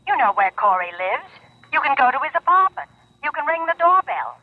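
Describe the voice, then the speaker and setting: Casting: a nosy, pushy young gal pal for old-time radio, high and very animated, insistent, on a narrow phone-like sound. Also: female voice, dry recording. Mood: prodding, indignant. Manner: brisk, insistent, meddling. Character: pushy, nosy, chatty young girlfriend confidante. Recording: tinny, narrow telephone-style lo-fi sound.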